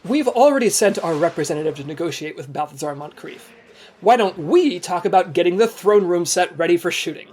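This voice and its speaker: A man playing an earnest, eager team-leading secret agent with a brisk, easily flustered manner in a comedy audio drama. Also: high voice, animated, darkish tone, husky. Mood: flustered, upbeat.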